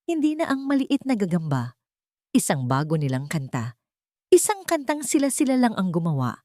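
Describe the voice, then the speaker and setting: A woman's voice: lowish voice, darkish tone, dry recording, studio quality. A woman narrating a scripted dramatic audiobook story.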